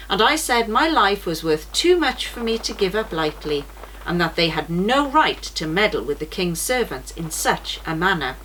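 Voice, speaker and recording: A woman's voice: lowish voice, a bit bright, low-quality recording. A woman voicing a brave, earnest male pilgrim swordsman in a children's storybook. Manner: earnest storybook narration of his fight. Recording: noticeable background noise.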